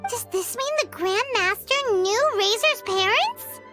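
Casting: pint-sized chirpy fairy sidekick for an anime game; childlike, squeaky, very high, highly animated. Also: female voice, unhurried. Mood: curious. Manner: bubbly, exaggerated cartoon delivery. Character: tiny floating girl fairy companion, chirpy, excitable, childlike. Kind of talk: anime video game voice acting. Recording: studio voice over game music.

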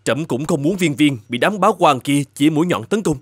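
An imperious middle-aged fairy-tale emperor, acted by a man. His formal voice runs a little high and moves in wide swings. Music plays behind him. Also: lively intonation, a little fast, lightly acted. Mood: persuaded. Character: infatuated middle-aged emperor, indignant yet easily persuaded. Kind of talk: animated fairy-tale film dubbing.

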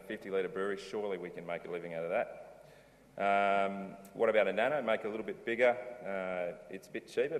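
A middle-aged man giving a casual conference talk from a lectern, rambling loosely with many ums and restarts. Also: low voice. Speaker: conference speaker, brewery owner. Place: large dark auditorium stage. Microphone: lectern mic, filmed from far in the hall. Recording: reverberant hall, distant camera.

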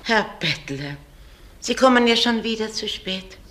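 An actress playing a strict, sarcastic retirement home matron, prim and reproachful, in a German crime radio play. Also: female voice, mid-range voice, animated, measured pace, a bit bright. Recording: echoey room, faint noise.

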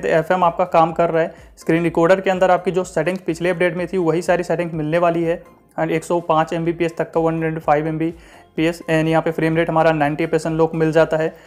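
A man giving a casual walkthrough voice-over as a tech reviewer, mixing in English terms and repeating filler phrases. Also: a little high. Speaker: tech reviewer, channel host.

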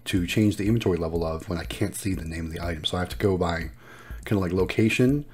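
A man's voice: low-pitched, quick pace, a little mellow. A man narrating a casual vlog in an upbeat, appreciative way, explaining things as he goes. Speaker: vlogger and vending machine operator.

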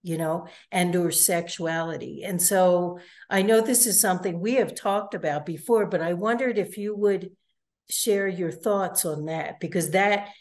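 A woman interviewer asking long, measured questions over a video call from home, often saying "you know". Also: lowish voice, a little mellow. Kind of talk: conversational interview.

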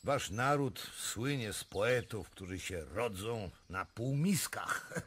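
An actor playing an arrogant, menacing Russian ambassador, quick to rage, in a radio drama. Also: male voice, on the low side, lively intonation, a bit bright, slight background noise. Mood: haughty. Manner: haughty, menacing.

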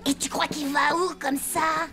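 A woman's voice: high voice, level tone, measured pace, music in the background. A woman voicing a naive, earnest small child in a French cartoon, simple and insistent with a whiny streak. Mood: curious, uneasy.

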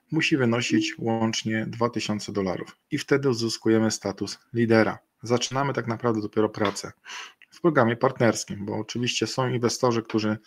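A middle-aged man presenting slides in a webinar over a video call, halting and filling pauses with hesitations. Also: medium pitch. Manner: halting, many fillers and restarts. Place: indoor room. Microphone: video call webcam.

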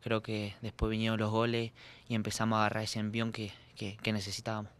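A young man, a soccer player answering at a postgame press conference, earnest and halting with repeated words, speaking into a desk mic. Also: fairly low pitch, monotone, a bit bright. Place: press room. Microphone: desk mic on stand.

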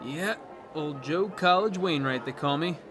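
Guy doing brash college joker, radio drama, high pitched, music playing behind. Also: a little slow, lightly acted. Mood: boisterous. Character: brash, jovial young college man. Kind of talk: old-time radio drama acting.

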